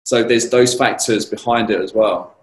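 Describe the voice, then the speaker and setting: Low, slightly croaky man in a roomy space. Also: fairly even intonation, normal speed. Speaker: guest speaker answering questions. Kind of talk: conversational conference Q&A. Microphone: video call. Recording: roomy echo on a video call.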